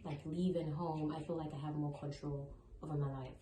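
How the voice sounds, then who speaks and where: Low-voiced young woman from ghana, chill matter-of-fact, echoey noisy room. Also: level tone, a little fast, a crowd in the background. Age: young adult. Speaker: guest friend. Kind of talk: casual chat.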